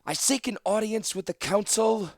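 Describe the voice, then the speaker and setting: Fantasy audiobook warlord: commanding, defiant woman played by a man, high-pitched, loud oratory. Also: moderate pace, no room sound, studio quality, lightly acted. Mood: impassioned, defiant. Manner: declamatory, forceful oratory. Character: fierce commanding female warlord, zealous and defiant.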